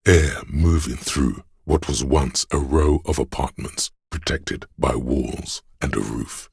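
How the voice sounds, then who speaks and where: An ominous, solemn city spirit narrating a video game in poetic second person. The voice is extremely low and heavily husky, full of gravel. Each phrase unfolds slowly and with a hushed, brooding weight. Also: male voice, no echo, clean studio sound, full character voice. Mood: somber. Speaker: game voice actor.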